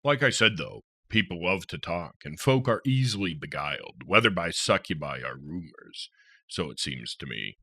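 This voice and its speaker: A man voicing a giant, gentle, talkative male soldier who speaks in hearty, rough folksy phrases. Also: low-pitched, expressive, normal speed, dry recording, heavily acted. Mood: confiding. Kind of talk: audiobook character voice.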